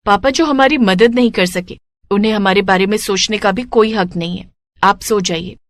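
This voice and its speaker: A woman's voice: mid-range voice, moderate pace, no echo, natural voice. A woman voicing a resourceful, hopeful young daughter, earnest and persuasive, in a cartoon story. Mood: firm.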